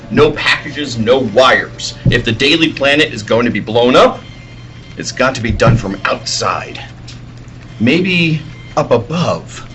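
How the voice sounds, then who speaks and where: Man acting an earnest, slow-spoken hero reporter. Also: medium pitch, sharp tone, poor audio. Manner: deliberate, urgent, dramatic. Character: earnest male reporter who is secretly a heroic superhero. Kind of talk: old-time radio serial acting.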